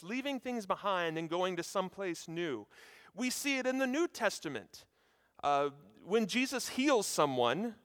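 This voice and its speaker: A man in his thirties from the United States preaching a sermon at a seminary chapel, with a loud, projecting voice and a conversational manner, speaking directly to his listeners. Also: high-pitched. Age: 30s. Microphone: handheld or lapel mic. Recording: hall reverb.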